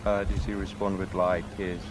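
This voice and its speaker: A man's voice: low-pitched, little pitch movement, moderate pace, heavy background noise. A man questioning a witness as a lawyer in a roleplay court, asking formal questions with many hesitant fillers.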